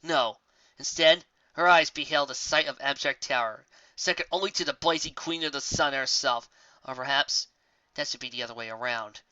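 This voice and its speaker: Bright-voiced dramatic story narrator, dull recording. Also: medium pitch, normal speed, no echo. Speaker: audiobook reader. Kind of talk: scripted narration of fan fiction story, dramatic.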